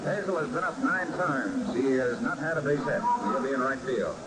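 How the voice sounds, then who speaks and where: An American man giving 1950s baseball radio commentary in florid, dramatic phrases with deliberate pauses, on a vintage broadcast recording. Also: medium pitch, lively intonation, heavy background noise. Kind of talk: measured, grandiloquent 1950s sports radio commentary.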